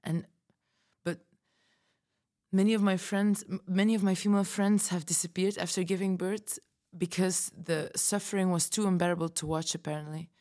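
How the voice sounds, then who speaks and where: A woman's voice: fairly low pitch, fairly even intonation, normal speed, a little mellow, natural voice. A woman playing a frightened pregnant mother who has fled, telling her backstory earnestly on a fiction podcast. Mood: earnest, fearful.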